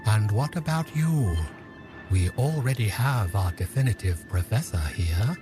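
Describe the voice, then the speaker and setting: An actor playing a grandiose, controlling mastermind in an audio drama, speaking in a deliberate, theatrical way. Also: male voice, on the low side, animated, music in the background. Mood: pleading, challenging. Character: controlling, grandiose male mastermind who reshapes realities. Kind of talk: scripted audio drama acting.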